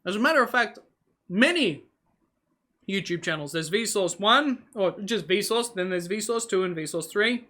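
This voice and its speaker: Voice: medium pitch, animated, measured pace, pushed and bright. A vlogger whose first language is Hungarian, talking casually to camera while snacking, musing aloud and wandering between thoughts with lots of fillers and self-corrections. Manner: talks while eating on camera. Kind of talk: casual rambling vlog.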